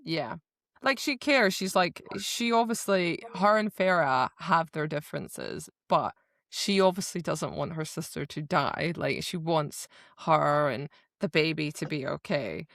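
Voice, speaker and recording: A woman's voice: low-pitched, lively intonation, a little slow, gravelly, fairly bright tone, no room sound, clean studio sound. A woman co-hosting a podcast, recapping a story in casual chat and emphatically quoting the characters. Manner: emphatic retelling, acting out characters' dialogue. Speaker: podcast co-host.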